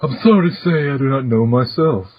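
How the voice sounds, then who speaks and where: A man voicing a genial, teasing retired old council chairman in a fan podcast read-through, with playful, drawn-out pauses. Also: medium pitch, slightly rough, no echo, natural voice. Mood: gentle. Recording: muffled, dry lo-fi recording.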